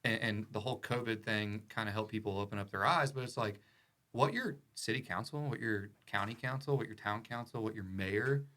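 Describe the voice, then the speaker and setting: Low-voiced man in casual podcast talk. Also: fairly quick, pushed and bright. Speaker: podcast guest, attorney. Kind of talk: casual opinionated podcast chat.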